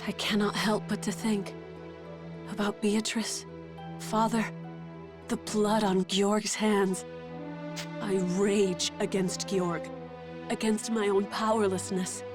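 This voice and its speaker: An actress voicing a reserved, solemn young noblewoman with a formal, measured manner in a video game. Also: mid-range voice, level tone, close to an everyday voice. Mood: troubled, sorrowful. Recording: music behind the voice.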